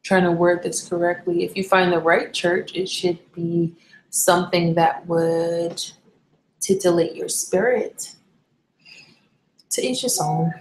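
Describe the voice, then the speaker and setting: A woman's voice: on the low side, fairly even intonation, slow, long pauses, slight background noise. A young woman from Barbados chatting casually on a live stream, candid and rambling, often correcting herself mid-sentence. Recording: echoey room.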